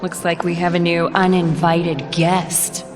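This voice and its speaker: A woman's voice: lowish voice, flat delivery, measured pace, natural voice. An actress playing an imperious, mocking villain queen commanding her minions in a video game. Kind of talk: video game cutscene acting. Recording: long reverb under music.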